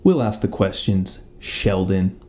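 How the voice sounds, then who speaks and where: A man's voice: low-pitched, expressive, slow pace, a little mellow. A man playing a stern, methodical detective in a mock police interrogation. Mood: stern, deliberate.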